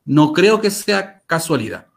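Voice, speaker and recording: Higher-voiced Chilean man, emphatic and articulate. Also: sing-song, unhurried, creaky. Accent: Chilean Spanish. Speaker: interview guest. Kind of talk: conversational storytelling and commentary.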